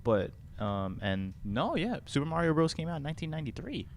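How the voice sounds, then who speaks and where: A man co-hosting a casual podcast, speaking haltingly and repeating words as he starts. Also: fairly high pitch, big pitch swings, creaky, darkish tone. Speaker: podcast co-host. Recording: noticeable background room noise.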